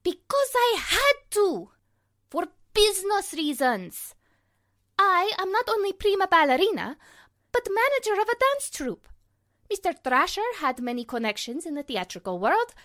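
A woman's voice: very high-pitched, very animated, moderate pace, a bit bright, exaggerated voice. A woman playing a haughty, fiery ballerina with a Spanish accent and broken English, in 1940s radio drama style. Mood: bitter, defensive. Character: haughty, fiery foreign prima ballerina, easily offended.

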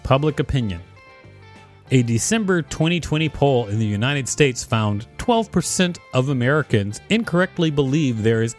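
A man with an average-pitched voice co-hosts a podcast. He alternates between reading text aloud and casual discussion. There is faint music in the background. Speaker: podcast co-host.

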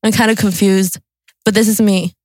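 A woman's voice: mid-range voice, fairly even intonation, normal speed, a little mellow, no room sound. A 22-year-old woman with an Albanian-Swedish accent, chatting informally and a bit giggly as a podcast co-host. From born in Kosovo, raised in Sweden. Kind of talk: casual podcast chat.

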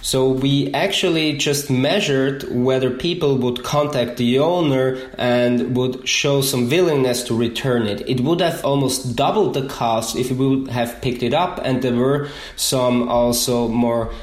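A man from Switzerland with a Swiss accent, explaining his research in measured detail as an interview guest. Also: medium pitch, reverberant. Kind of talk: interview answers.

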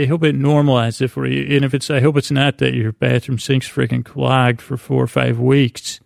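A man hosting a podcast in a meandering bedtime monologue, halting and stammering as he doubles back on phrases, with a self-deprecating manner. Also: mid-range voice, gravelly, darkish tone, no echo, clean studio sound. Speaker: podcast host.

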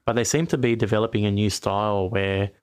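A man's voice: fairly low pitch, fairly even intonation, a little fast, clear, resonant tone, no room sound, studio quality. A man talking sport on a podcast in a rambling, frank way, often restarting his sentences. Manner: rambling, self-correcting, frank opinions. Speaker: podcast host. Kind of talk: casual sports chat.

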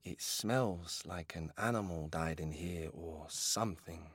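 A man narrating an audio drama in a deliberate, intense, dramatic scripted style. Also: low voice, slightly rough, no room sound, clean studio sound.

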